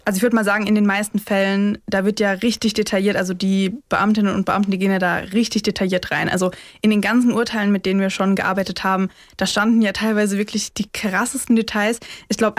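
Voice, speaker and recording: A woman's voice: mid-range voice, level tone, fast, few pauses, dry recording, clean studio sound. A woman co-hosting a true-crime podcast in a casual, colloquial conversation. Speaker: podcast co-host.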